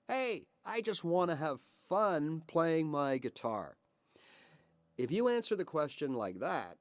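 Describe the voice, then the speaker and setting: A man's voice: medium pitch, no echo. A man of fifty or older giving a casual guitar lesson to camera, chatty and encouraging, asking rhetorical questions and checking in with "all right" and "right?". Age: 50s or older. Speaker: guitar teacher.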